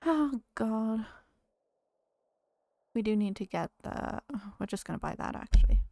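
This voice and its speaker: Woman game streamer, mid pitch, gravelly and dry close-mic sound, voice going hoarse. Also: expressive, unhurried, warm tone, no room sound. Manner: chatty, greets viewers, talks to game characters. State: losing her voice. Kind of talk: casual live-stream chat while playing.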